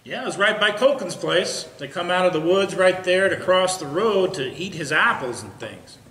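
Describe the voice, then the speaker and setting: Male actor as earnest, folksy small-town card player and gossip, stage comedy, high animated voice, echoey. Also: normal speed. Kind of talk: comic stage play dialogue.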